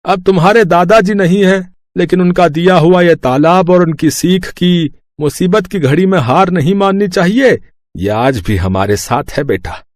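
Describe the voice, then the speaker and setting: Man acting a kind village dad in a storybook, patient, highish voice, dry sound. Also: moderate pace, no echo, close to an everyday voice. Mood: fond, reflective. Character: gentle village father telling family history.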